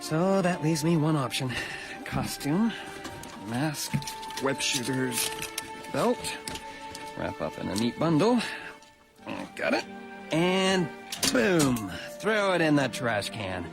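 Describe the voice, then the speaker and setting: Defeated teen superhero: glum inner monologue, slow, lightly raised pitch, music bed. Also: male voice, sing-song, creaky, full character voice. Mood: dejected resolve. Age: teenager. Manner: dejected, deliberate. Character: Peter Parker, glum defeated teenage male superhero. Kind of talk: radio drama monologue. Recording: music under the voice.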